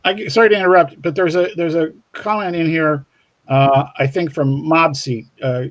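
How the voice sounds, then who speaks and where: Dull-sounding call, higher-pitched man interviewing. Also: fairly even intonation, normal speed. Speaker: co-host, interviewer. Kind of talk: casual interview chat. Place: remote online video call. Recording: dull, muffled call audio.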